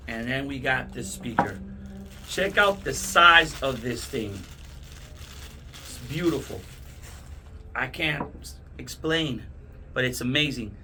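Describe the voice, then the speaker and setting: A man's voice: a little high, pushed and bright, slight background noise. A man hosting a casual product unboxing, talking enthusiastically to viewers in short, punchy, repeated phrases. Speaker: host of product unboxing videos. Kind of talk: casual hype product demo to camera.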